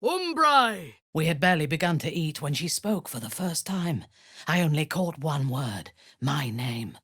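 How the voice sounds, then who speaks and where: A woman playing a haughty, commanding female warrior cleric with a husky voice, cruel yet intimate, in a fantasy video game. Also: low voice, sing-song, fairly bright tone, no echo, clean studio sound, full character voice. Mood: incanting, then grave recollection. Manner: commanding, haughty, deliberate, theatrical.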